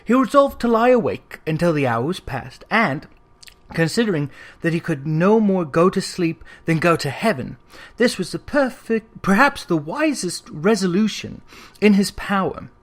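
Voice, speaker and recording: A man narrating a classic Victorian ghost story as an audiobook, in a theatrical, expressive storytelling manner. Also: high voice, normal speed.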